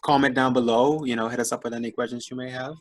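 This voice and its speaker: Casual male podcast co-host, mid-pitched, reflective and easygoing. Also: fast. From Bahamas. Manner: relaxed, thoughtful, many fillers like "you know". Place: New York area, remote call.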